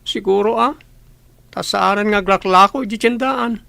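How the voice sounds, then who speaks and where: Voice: mid-range voice, normal speed, creaky, bright, forceful tone, dry recording. A performer acting a bitter, resigned young elder sibling in a radio drama.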